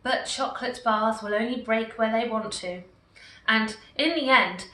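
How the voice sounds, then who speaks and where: Woman reading a picture book aloud, slow and animated, bright voice, echoey room far from mic. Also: a little high. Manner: slow, expressive, warmly animated. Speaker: storyteller.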